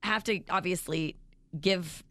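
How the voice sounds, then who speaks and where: A woman co-hosting a morning radio show, with a deep, raspy voice, chatty and self-mocking. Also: takes it slowly, fairly bright tone, no echo. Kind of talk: casual radio banter. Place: radio studio.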